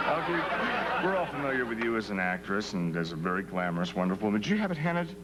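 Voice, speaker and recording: An actor playing a smarmy, dryly sarcastic talk show host who speaks in a smooth, mock-sincere way on a 1970s satirical show. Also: male voice, fairly low pitch, big pitch swings, a little fast. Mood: wry. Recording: noisy 1970s TV tape.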